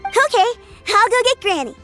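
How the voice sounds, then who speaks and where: A woman's voice: very high voice, animated, moderate pace, pushed and bright, faint music behind, full character voice. A woman voicing an earnest, sweet young girl in a video game, using casual childlike phrasing. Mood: caring.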